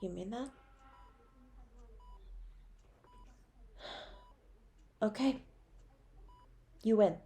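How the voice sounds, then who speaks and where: A woman's voice: mid-range voice, unhurried, warm tone, close to an everyday voice. A woman playing a devoted, guilt-ridden superhero in an intimate, emotional audio roleplay, with a pleading, tender way of speaking. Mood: tender, relieved. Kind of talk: dramatic audio roleplay, intimate second-person.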